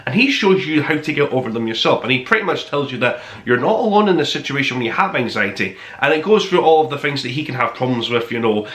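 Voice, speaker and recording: Animated, bright-voiced man hosting a podcast. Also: medium pitch, fast talker, hardly any pauses, reverberant. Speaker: podcast host and book reviewer. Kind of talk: conversational top-five review monologue to listeners.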